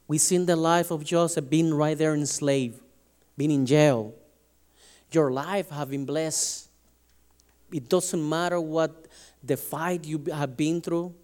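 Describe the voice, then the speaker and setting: Emphatic high-voiced male preacher. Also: a little mellow, smooth. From Latin America. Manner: emphatic, restarts and stumbles over words. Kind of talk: sermon.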